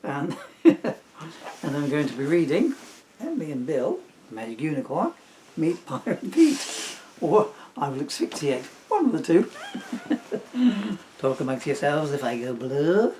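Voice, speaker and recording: A man with very lively, swooping pitch reads like a dad at storytime. He takes his time and slips in self-teasing asides. There is clear noise behind him. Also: measured pace. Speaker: father reading a children's story aloud. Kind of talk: playful storybook reading with chatty asides.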